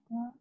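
A woman on a video call quietly muttering to herself, puzzled, as she tries to work out the call settings. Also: a little high, phone-quality sound, a little hiss or hum.

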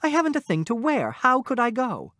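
A man's voice: high voice, very animated, measured pace, fairly bright tone, no room sound, clean studio sound, voice pushed up, full character voice. A man putting on a petulant young wife. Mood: impatient. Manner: petulant, impatient. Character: discontented young wife, vain and tearful.

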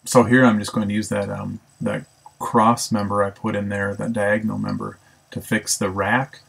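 A man's voice: on the low side, level tone, normal speed, vocal fry, fairly bright tone, husky. A man casually narrating a woodworking build, thinking aloud with ums and self-corrections. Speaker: woodworking instructor. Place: home woodshop.

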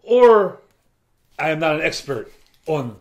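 A man in his thirties co-hosting a beer review from a small shelf-lined room. He explains things in an even, measured way with a dry touch of humor. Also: a little high, lo-fi sound. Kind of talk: casual chat.

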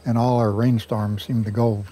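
An older man giving a garden tour outdoors, talking in a folksy, unhurried way on a lapel mic. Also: on the low side, level tone, dark tone, slight background noise. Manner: folksy, unhurried, chuckles at own jokes. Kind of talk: casual storytelling tour.

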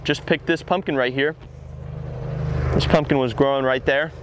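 A man's voice: medium pitch, fairly even intonation, moderate pace, background noise. A young man in his twenties on a lapel mic, explaining step by step with enthusiasm while handling plants in an open farm field. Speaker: farm educator. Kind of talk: outdoor teaching walk-through.

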